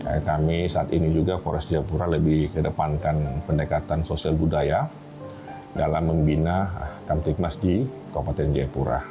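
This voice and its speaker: A man's voice: extremely low pitch, fairly even intonation, moderate pace, music in the background. A man speaking as police chief, giving a formal official statement in an interview.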